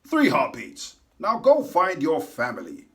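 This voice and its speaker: Gruff grumpy octopus guy , a man puts him on, loud and short with his words, storybook reading. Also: fairly high pitch, wildly swooping pitch, a little slow, exaggerated voice.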